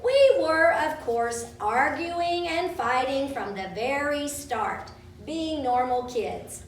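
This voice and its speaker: Woman doing storytime for kids, high voice, slow n dramatic, echoey room. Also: lively intonation. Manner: dramatic, acts out quoted speech. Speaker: storyteller, author reading own story. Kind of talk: storytime reading to children at a live event. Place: large room at a live event. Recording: roomy, distant microphone, faint background noise.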